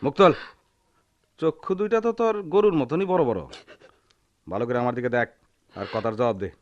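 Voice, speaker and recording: An actor playing a bullying, aggrieved village husband with a rural Bangladeshi accent, scolding and taunting in a radio drama style. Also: male voice, mid-range voice, very animated, brisk, dry recording, lo-fi sound, natural voice. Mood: threatening. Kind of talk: Bengali radio drama acting.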